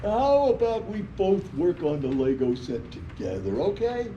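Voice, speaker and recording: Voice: medium pitch, huge pitch swings, measured pace, mellow, voice pushed down. A performer reading a busy, bossy older brother absorbed in building Lego, in a staged play reading. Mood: helpful. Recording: muffled, echoey room with faint noise.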